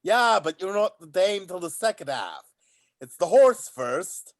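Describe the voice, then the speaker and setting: A man's voice: high-pitched, big pitch swings, unhurried. A man playing a bumbling, easygoing handyman in a read-through of a stage comedy. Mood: harried, unbothered. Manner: matey, casual. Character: bumbling, easygoing handyman in an amateur panto troupe. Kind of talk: play reading of a stage comedy.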